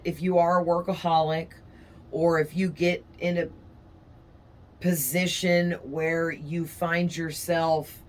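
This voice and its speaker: A woman tarot reader talking casually to her viewers, her voice raspy and hoarse from strain, rambling along with frequent "okay?" tags. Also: low voice, a little hiss or hum. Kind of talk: casual chatty monologue to viewers.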